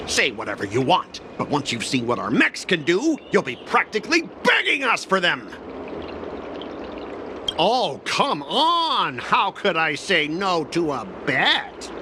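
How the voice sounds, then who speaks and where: Smug, high-pitched male mech salesman. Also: huge pitch swings, a little slow, noisy background. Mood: taunting. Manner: sneering, theatrical, sarcastic. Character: smug, mocking young male mech salesman and courier boss. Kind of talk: video game cutscene acting.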